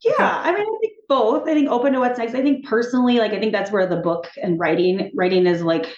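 A woman talking as a guest in a casual interview, animated and chatty, often laughing and restarting her sentences. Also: on the high side, fast. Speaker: TV executive, podcast guest. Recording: echoey room, dull sound.

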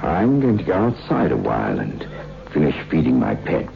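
An actor playing a menacing, domineering man with a pet tiger, brusque and smoothly controlling, in 1960s radio horror style. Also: a bass voice, huge pitch swings, normal speed, full character voice. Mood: controlling, falsely soothing. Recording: dull old radio recording, music behind.

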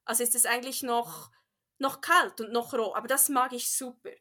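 A woman with a Swiss accent, whose first language is Bernese Swiss German, chatting as a podcast guest. She pauses to search for words and slips into English phrases. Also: a little high, bright, forceful tone. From Switzerland. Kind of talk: casual interview chat.

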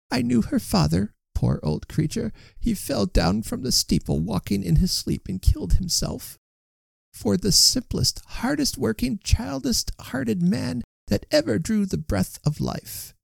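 A man's voice: high voice, creaky, no room sound, voice pushed up, heavily acted. A man voicing an indignant, kind-hearted old shopkeeper's wife with emphatic delivery in an audiobook. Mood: impassioned, tearful pity. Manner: emphatic, scolding, repetitive. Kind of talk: audiobook character voice.

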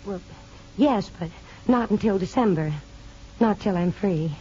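An actress playing an anxious, earnest young wife with a hidden past in a 1950s radio drama, hesitant and pleading. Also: female voice, mid-range voice, unhurried, dark tone, lightly acted. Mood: anxious, hopeful. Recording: dull, noisy 1950s radio recording.

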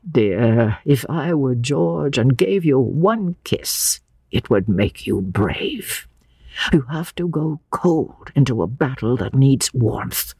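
A woman voicing an earnest old man in a novel, hot-tempered yet tender, speaking with slow, emphatic passion. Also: very deep voice, vocal fry, a little mellow, breathy edge, no echo, studio quality, exaggerated voice.

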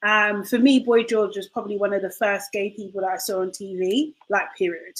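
A woman co-hosting a casual talk show. She thinks aloud, hesitant and careful, leaning on fillers like "like" and "you know", at an ordinary pitch. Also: fairly even intonation, a little fast, low-quality recording. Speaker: podcast co-host. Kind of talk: casual discussion.

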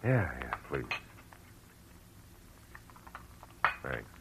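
An actor playing a hard-boiled, world-weary but compassionate private detective in 1940s radio drama style. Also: male voice, rumbling low, big pitch swings, unhurried, creaky, slight background noise, full character voice. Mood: gentle, consoling. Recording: old radio recording with music.

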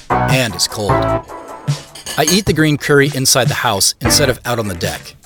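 A man's voice: medium pitch, close to an everyday voice. An actor playing a solitary, private man who narrates his own story in an understated, conversational, matter-of-fact way. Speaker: narrator of an audio drama. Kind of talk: first-person scripted narration.

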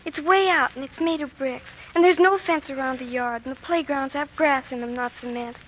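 Eager, bookish schoolgirl, high voice, breathless and earnest, vintage radio drama with background noise. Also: fairly even intonation, moderate pace, fairly bright tone, muffled recording. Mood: wonder. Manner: breathless, pleading, wide-eyed earnestness. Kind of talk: 1940s radio drama acting. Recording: noisy vintage 1940s radio recording.

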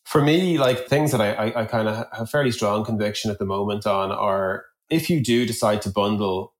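A man's voice: on the low side, moderate pace. A man in a conversational podcast discussion, thinking aloud at length and working through examples. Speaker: podcast guest, product executive. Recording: roomy echo on the voice.

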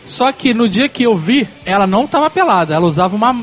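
A man's voice: high voice, sing-song, fast talker, a bit bright. A man giving joking, blunt, slangy advice in casual podcast chat. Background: faint music.

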